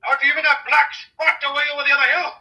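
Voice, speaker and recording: An actor playing a gruff, fatherly country police sergeant in an old radio drama, blustering and coaxing in a colloquial way. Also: extremely high pitch, little pitch movement, fast, pushed and bright, heavily acted, poor audio. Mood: shouting, urgent.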